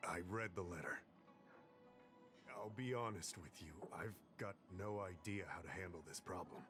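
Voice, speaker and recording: A man playing a low-voiced, earnest, courteous official. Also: natural voice. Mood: troubled. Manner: formal, courteous, measured. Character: polite, earnest male civil servant of a fantasy realm. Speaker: game character, government official. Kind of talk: scripted video game dialogue. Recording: game music behind the voice.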